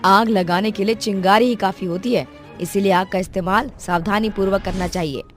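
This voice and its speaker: A solemn, cautious witch from an animated horror tale, played by a woman. She speaks earnestly at a middling pitch, with faint music behind her. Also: fairly quick, close to an everyday voice. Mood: solemn advice. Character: cautious, solemn female witch who helps villagers.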